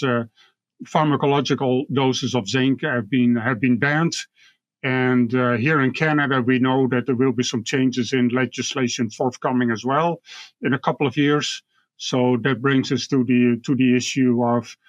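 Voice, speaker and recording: A man's voice: mid-range voice, no room sound. Dutch-accented man, dull lo-fi recording. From Netherlands. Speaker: guest expert, university professor. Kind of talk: explanatory interview talk.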